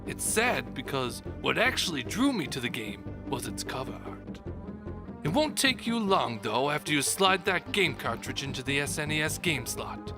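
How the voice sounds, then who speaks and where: A man's voice: a little high, very animated, moderate pace. A man giving a dramatic, mock-grand reading of a scripted game review. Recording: music behind the voice. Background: background music.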